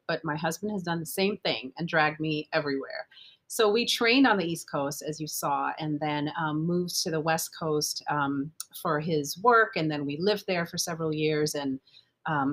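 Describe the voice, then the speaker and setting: Low-voiced woman telling chatty stories. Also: fairly bright tone. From Guyana. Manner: chatty, personal storytelling with fillers. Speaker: physician guest. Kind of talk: casual interview chat.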